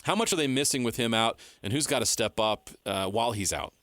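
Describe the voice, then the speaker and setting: A man hosting sports radio, asking his guest questions in a brisk, friendly way. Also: mid-range voice, expressive, fairly bright tone, no echo. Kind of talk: casual sports talk. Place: radio studio.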